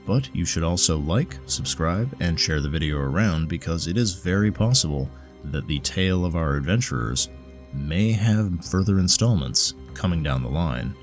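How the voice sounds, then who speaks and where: Male narrator for scripted fiction, very low register, light music bed underneath. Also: expressive, moderate pace. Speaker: narrator and presenter. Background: faint music.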